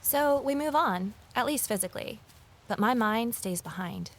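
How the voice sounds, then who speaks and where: A woman's voice: fairly high pitch, expressive, moderate pace. A woman playing an eager, nosy former journalist turned sleuth, telling her story in earnest first-person audio drama style. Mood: determined, reflective.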